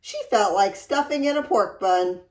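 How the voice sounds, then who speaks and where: A woman reading a children's storybook aloud in an expressive, deliberate storytelling manner. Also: medium pitch. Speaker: read-aloud narrator. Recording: roomy echo.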